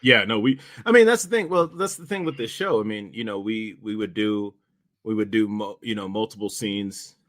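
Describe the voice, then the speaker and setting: A man chatting casually about directing, speaking in a halting, rambling way and often repeating himself. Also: fairly low pitch, a bit bright, dry recording, studio quality. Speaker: director and podcast host. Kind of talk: casual behind-the-scenes chat.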